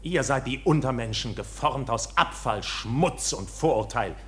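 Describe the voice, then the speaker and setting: An actor playing a desperate, defiant accused bank officer in a fervent, declamatory radio drama style. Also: male voice, medium pitch, lively intonation, measured pace, fairly bright tone. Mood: bitter contempt. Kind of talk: theatrical German radio drama acting.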